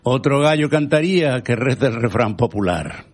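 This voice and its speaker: A man whose first language is Galician, hosting a radio show with a measured, rhetorical scripted editorial. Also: mid-range voice, expressive, slightly rough. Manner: measured, rhetorical, quotes proverbs and thinkers.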